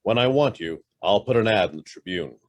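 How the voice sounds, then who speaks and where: A man's voice: fairly low pitch, lively intonation, a little fast, no room sound, highs cut off, close to an everyday voice. A man playing a curt, wary fugitive master who gives his valet clipped orders in a radio play. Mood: curt, guarded. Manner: clipped, commanding questions. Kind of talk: amateur radio play acting.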